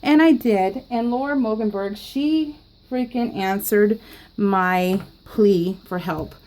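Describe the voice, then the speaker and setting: A woman's voice: mid-range voice, takes it slowly, a little mellow, poor audio. A woman rambling through a story in casual chat, laughing often, recorded at home. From California. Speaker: scrapbooking vlogger. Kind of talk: casual storytelling while crafting.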